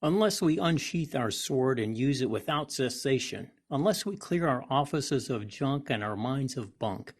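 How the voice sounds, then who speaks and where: Male reader, mid-range pitch with plenty of fry, delivering an old call-to-action article like a deliberate orator. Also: lively intonation. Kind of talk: oratorical read-aloud of a persuasive article.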